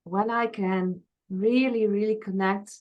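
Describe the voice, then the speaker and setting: Dutch-accented woman, interview guest, reflective and searching, mid-pitched. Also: steady tone, measured pace, highs cut off. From Friesland, Netherlands. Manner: thoughtful, searching, frequent restarts and soft trailing. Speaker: interview guest, past life regression therapist.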